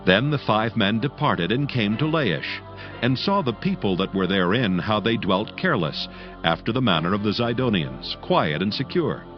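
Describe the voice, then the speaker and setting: A man's voice: lowish voice, big pitch swings, normal speed. A man narrating scripture in a solemn, formal, dramatic storytelling manner. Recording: slightly dull audio, faint music.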